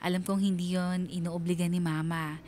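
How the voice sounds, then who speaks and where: A woman's voice: lowish voice, level tone, moderate pace, darkish tone, a little hiss or hum, natural voice. A woman playing an earnest, dutiful young nurse who narrates her own story in a Filipino radio drama, reflectively.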